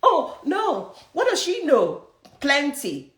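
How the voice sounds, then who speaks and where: A young Nigerian woman with a Nigerian accent, giving a forceful, preachy motivational career talk that challenges her listeners directly. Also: high-pitched, wildly swooping pitch, takes it slowly, reverberant. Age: young adult. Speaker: career coach.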